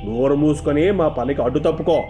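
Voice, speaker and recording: A man performs an arrogant village timber boss in a cartoon fable. He is a domineering villain with a mellow but fairly high voice. Faint music sits behind him. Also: a little fast, lightly acted. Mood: mocking, arrogant. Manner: sneering, domineering. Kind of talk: cartoon moral story villain acting.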